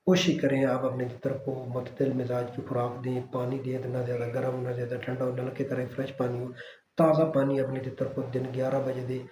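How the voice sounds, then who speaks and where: A man's voice: mid-range voice, monotone, fairly quick, background noise. A man hosting his own bird-keeping channel, giving casual how-to advice to viewers in a reassuring way, speaking with a Pakistani Urdu accent and often checking in with "theek hai". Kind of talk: casual how-to talk to camera. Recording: echoey room with faint ambience.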